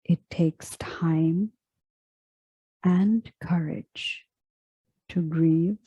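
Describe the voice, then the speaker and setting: A woman leading a guided meditation in a soft, breathy, hushed voice, speaking gently and in measured phrases with long pauses. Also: fairly low pitch, fairly even intonation, no echo, lo-fi sound. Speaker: meditation guide and yoga teacher. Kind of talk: slow guided meditation, scripted.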